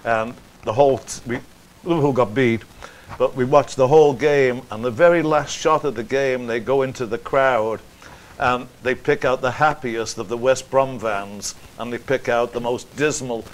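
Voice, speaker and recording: A man's voice: mid-range voice, normal speed, low-quality recording. A man hosting a casual chat, jovial and rambling, laughing easily with his guests. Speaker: host.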